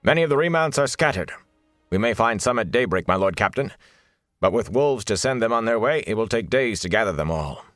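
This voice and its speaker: A man voicing a gaunt, rigid soldier in an audiobook, with a hard, grating voice and a flat, clipped military delivery. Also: on the low side, a little fast, no room sound, studio quality, faint music behind, pitched above the performer's own voice. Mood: stiff, emotionless. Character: gaunt, rigid, hard-bitten soldier reporting to his captain.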